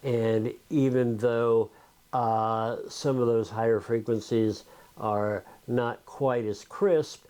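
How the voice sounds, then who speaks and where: A man's voice: on the low side, darkish tone. A man hosting a video, talking informally to viewers in an explanatory, conversational tutorial style. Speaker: video host.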